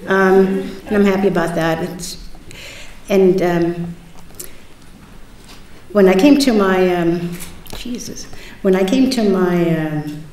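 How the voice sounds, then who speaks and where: An older woman speaks slowly and haltingly, reaching for each word. Her voice sits a little low. She is heard from a distance in a big echoing lecture hall, with a faint hiss underneath. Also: darkish tone. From South Bronx, New York. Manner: halting, searching for words. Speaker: panelist. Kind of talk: panel talk. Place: large lecture hall at a panel table. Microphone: table mic on a stand. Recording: echoey hall, mic from far off.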